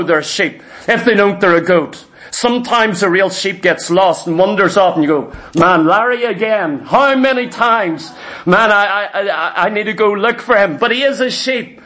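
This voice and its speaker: A man's voice: high voice, fairly bright tone. A man preaching a church sermon in an exhorting way, with rhetorical questions, emphatic repetition and bits of imagined dialogue.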